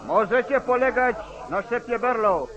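An actor playing a crude, gossiping lynch-mob member, sneering and insinuating, in an old Polish radio drama. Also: male voice, extremely high pitch, animated, normal speed, dull audio. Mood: eager. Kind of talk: mid-century Polish radio drama acting. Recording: old 1940s radio recording, crowd.

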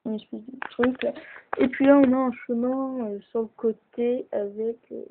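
A woman chatting casually with lots of fillers as she shows off a toy model at home, filmed on a handheld camera held close. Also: fairly high pitch. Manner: chatty, hesitant, many fillers. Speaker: toy builder showing a model. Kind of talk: casual show-and-tell narration. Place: indoors at home. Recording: tinny lo-fi camera audio, hissy.

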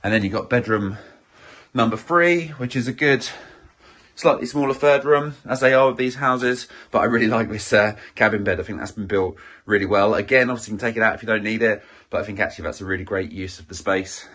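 A man giving a chatty, upbeat walk-through tour of a house as an estate agent, his voice a little croaky, filmed on a handheld phone indoors. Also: fairly low pitch, brisk, fairly bright tone. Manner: chatty, upbeat, filler-heavy with many "um"s. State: slightly croaky voice. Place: inside a small house, walking room to room.

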